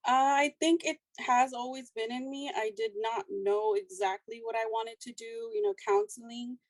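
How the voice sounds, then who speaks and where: Young woman, soft-spoken, shy, counselor guest, dry close sound. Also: fairly high pitch, fairly even intonation, moderate pace, no echo, low-quality recording. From South Texas. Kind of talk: casual interview.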